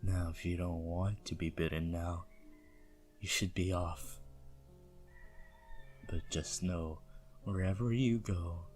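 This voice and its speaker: A man playing a possessive, seductive vampire prince in a teasing, intimate ASMR roleplay with quiet menace. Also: deep voice, fairly even intonation, slow, pauses often, faint music behind. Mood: patient, menacing.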